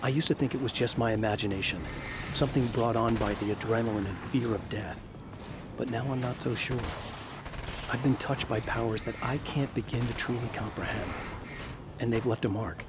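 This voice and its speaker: An actor playing a haunted, weary male writer who narrates a horror game in a low, measured, brooding voice. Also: noisy background, natural voice. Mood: reflective.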